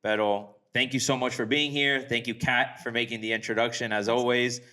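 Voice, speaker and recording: A man's voice: mid-range voice, fairly even intonation, brisk, pushed and bright. A man hosting a podcast in a casual, conversational interview style. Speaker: podcast host.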